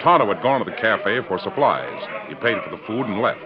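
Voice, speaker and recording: A man's voice: on the low side, sing-song, a little fast, a crowd in the background. A man with an American accent giving formal, polished dramatic narration as a 1940s radio announcer. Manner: formal, polished storytelling delivery. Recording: muffled vintage radio recording.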